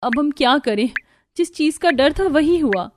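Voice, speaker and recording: A woman voicing a gentle, anxious young wife in a cartoon family drama. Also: high voice, animated, measured pace, background noise.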